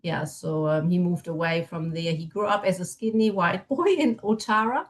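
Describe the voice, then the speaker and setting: A woman's voice: fairly low pitch, moderate pace. Middle-aged woman with German accent. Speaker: podcast guest, family constellation facilitator. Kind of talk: casual chat, personal storytelling.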